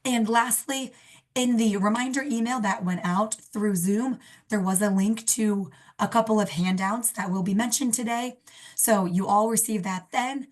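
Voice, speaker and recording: A young woman with a cold and a slightly raspy voice, hosting a webinar and reading announcements on a video call. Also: medium pitch, unhurried. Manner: friendly, scripted announcements. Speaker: program coordinator, webinar host. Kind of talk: webinar introduction. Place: indoor room, video call.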